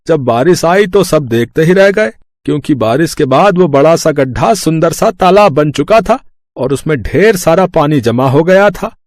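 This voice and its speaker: A man playing a gentle village father who talks patiently and fondly, like telling family history, in a storybook tale. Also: on the high side, no room sound, clean studio sound, close to an everyday voice. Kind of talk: storybook character acting.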